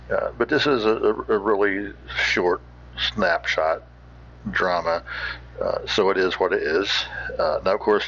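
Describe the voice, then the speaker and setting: Deep gravelly folksy man, halting. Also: a bit bright, a little hiss or hum. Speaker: podcast host. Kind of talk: informal rambling commentary. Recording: dull, muffled recording.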